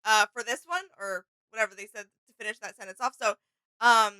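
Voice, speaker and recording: A female co-host with a smooth, clear voice that sits slightly high, recorded dry and close. She speaks casually and informally, agreeing easily and thinking aloud as she comments. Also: fairly even intonation, brisk, sharp tone, no echo. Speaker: co-host of a reaction video channel. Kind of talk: casual chatty reaction commentary.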